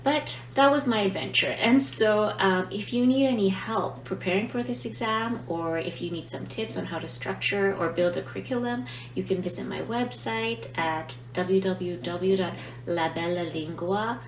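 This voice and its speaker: A woman's voice: mid-range voice, moderate pace. A woman who teaches Italian, not her first language, chatting reflectively in a casual vlog and often checking in with "right?". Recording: roomy, distant mic with faint hum.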